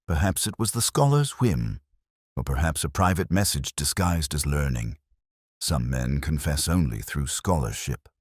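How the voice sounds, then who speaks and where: A man playing a melancholy, observant police inspector, narrating a detective audio drama in a measured, literary manner. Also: very deep voice, no echo, clean studio sound, full character voice.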